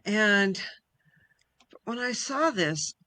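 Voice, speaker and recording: Woman, unhurried pace, bright clear-edged tone, earnest guest poet, conversational, dry sound. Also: mid-range voice, expressive, no echo. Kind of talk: conversational interview about poetry.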